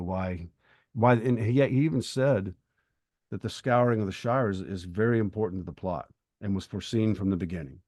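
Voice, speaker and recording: A man with a notably deep voice, recorded close and dry. He speaks as a podcast co-host, reflective and earnest, often pausing to find his words. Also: warm tone, dry recording. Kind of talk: reflective literary discussion and prayer.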